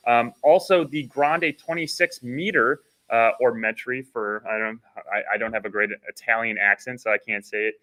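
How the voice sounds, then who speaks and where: A man's voice: mid-range voice, moderate pace, few pauses, bright, forceful tone. A man co-hosting a casual boating broadcast, upbeat and salesy, with lots of "uh" fillers. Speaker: co-host. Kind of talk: casual promotional chat.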